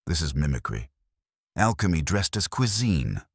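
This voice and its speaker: A man's voice: extremely low pitch, big pitch swings, moderate pace, no echo, studio quality, full character voice. A man voicing an imperious older male detective who speaks in terse, clipped commands. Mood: curt, disdainful.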